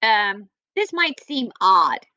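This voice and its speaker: A woman's voice: mid-range voice, very animated, slow pace, sharp tone, dry recording, highs cut off, exaggerated voice. Woman playing a polite, hesitant young princess.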